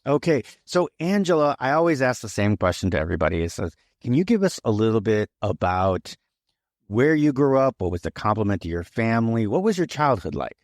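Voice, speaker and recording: A man's voice: medium pitch, big pitch swings, fairly quick, dry recording, studio quality. A man hosting a podcast, welcoming a guest and asking questions in a loose, chatty way. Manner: scripted upbeat intro, then loose chatty questions. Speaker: podcast host.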